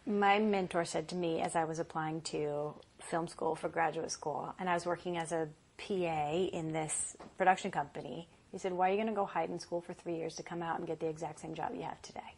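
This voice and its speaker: A woman's voice: deep voice, fairly even intonation, fairly quick, few pauses. Encouraging woman podcast host. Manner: encouraging, affirming, asks short questions. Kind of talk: casual interview conversation.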